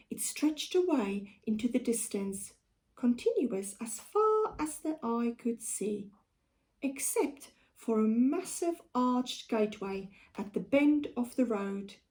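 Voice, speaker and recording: Female storybook reader, South African accent, mellow voice with very lively intonation, unhurried and deliberate. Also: fairly high pitch. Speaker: primary school teacher. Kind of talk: storybook read-aloud for children.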